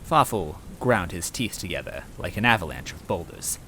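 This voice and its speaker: A man reading a scripted comic story as narrator, with wry, deadpan asides. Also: deep voice, normal speed, rough recording. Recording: rain noise and game music behind.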